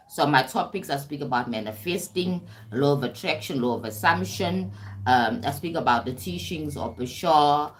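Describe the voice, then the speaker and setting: A woman hosting a casual self-development livestream, chatty, often stumbling over words and checking in with viewers. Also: rumbling low. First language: English. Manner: warm-toned chatty, calls viewers "sweetie pie", frequent "right?" and restarts. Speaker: livestream host.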